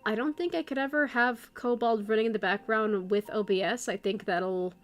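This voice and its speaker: A woman streamer chatting casually at her computer, rambling and restarting her sentences, her voice going hoarse. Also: mid-range voice, fairly even intonation, moderate pace. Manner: rambling, self-interrupting, many restarts. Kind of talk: casual livestream chat.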